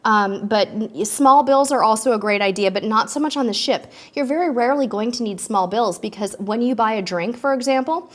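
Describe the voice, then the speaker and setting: A woman's voice: a little high, brisk, few pauses. A woman hosting a live cruise-tips stream and answering viewer questions in a chatty, upbeat way, a little stuffy from allergies. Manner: chatty and upbeat, with frequent ums.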